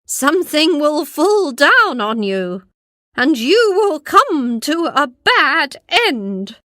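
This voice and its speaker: Storybook witch, acted by a woman, old and angry, drawn-out sing-song chant, close dry sound. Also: high-pitched, very animated, pushed and bright, dry recording, pitched above the performer's own voice, exaggerated voice. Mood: menacing. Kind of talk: storybook character voice.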